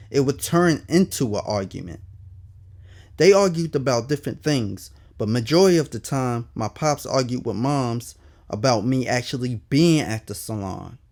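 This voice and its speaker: A man's voice: medium pitch, darkish tone. A man narrating his own autobiography as an audiobook, in a candid, colloquial storytelling manner with emphatic asides. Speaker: author reading own autobiography.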